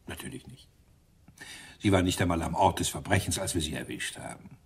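An actor playing a gruff, sarcastic, impatient police inspector in a German radio crime drama. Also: male voice, low voice, big pitch swings, a little fast, heavily acted. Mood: sardonic, menacing. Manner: sardonic, gruff.

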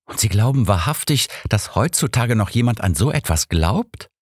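A man's voice: lowish voice, very animated, moderate pace, a little mellow, breathy edge, no room sound, clean studio sound, voice pushed up. A man reading an older doctor, a detective's loyal and puzzled sidekick, in a formal, incredulous manner for an audiobook.

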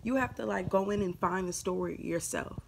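Student reflection; woman from Houston, gravelly texture, conversational. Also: on the low side, brisk, a little hiss or hum. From Houston, Texas. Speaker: student documentary maker. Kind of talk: reflective testimonial.

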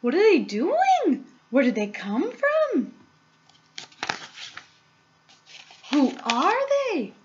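A woman voicing astonished, shouting onlookers in a storybook reading. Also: extremely high pitch, wildly swooping pitch, a little slow, dull audio, noisy background, pitched above the performer's own voice, heavily acted. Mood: amazed shouting. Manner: shouting, amazed. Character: astonished crowd of excited visitors shouting.

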